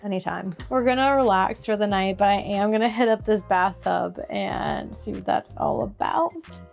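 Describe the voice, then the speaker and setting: A woman's voice: mid-range voice, normal speed, creaky, faint music behind. A woman travel vlogger chatting casually to the camera. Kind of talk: casual vlog chat.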